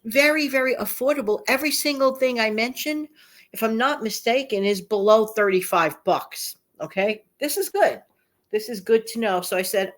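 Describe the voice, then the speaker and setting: A woman's voice: mid-range voice, fairly bright tone. A woman with a slightly nasal voice hosting a casual, chatty vlog, speaking emphatically and repeating phrases for effect. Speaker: channel host.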